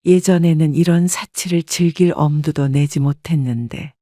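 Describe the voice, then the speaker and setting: A woman narrating a first-person story in a calm, measured way. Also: low voice, no room sound, clean studio sound.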